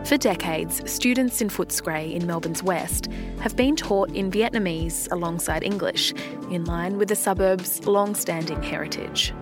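A woman hosting a news podcast, presenting clearly and steadily. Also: fairly low pitch, measured pace, over music. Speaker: podcast host.

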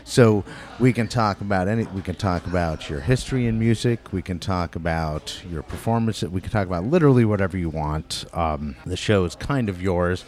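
A man's voice: sits low, brisk, few pauses, a little mellow. A man hosting a podcast in a crowded restaurant, talking in a loose, chatty way and swearing casually. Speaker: podcast host. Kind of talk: casual interview.

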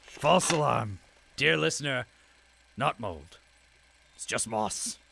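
An actor playing a pompous, fussy old propagandist who narrates an audio drama in grandiloquent, theatrical words. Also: male voice, mid-range voice, lively intonation, slow, creaky, pushed and bright, husky, full character voice. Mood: scornful.

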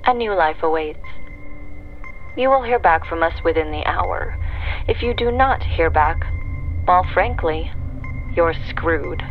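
A woman's voice: lowish voice, animated, narrow, tinny audio, faint music behind. An actress playing an eerie, teasing woman who speaks as a secret agency's answering machine in an audio drama. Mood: ominous, deadpan. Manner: slow, taunting, breaks into singsong.

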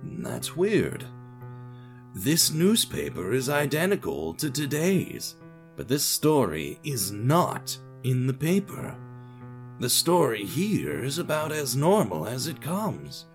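Man acting a slow-talking elderly receptionist. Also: medium pitch, wildly swooping pitch, faint music behind, voice pushed up, full character voice. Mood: mild, puzzled. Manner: slow, polite, unhurried. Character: rather old male newspaper receptionist, polite and mild.

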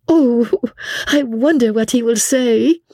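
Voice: medium pitch, slow pace, mellow, no room sound, clean studio sound, voice pushed up. An audiobook reader voicing a merry young French noblewoman, girlish, eager and curious. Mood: delighted.

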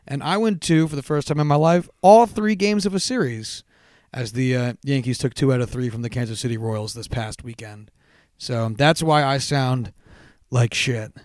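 A man co-hosting a casual podcast, hoarse-voiced, telling stories animatedly and swearing freely. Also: mid-range voice, moderate pace, darkish tone, no echo, clean studio sound. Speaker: podcast co-host. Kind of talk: casual chat.

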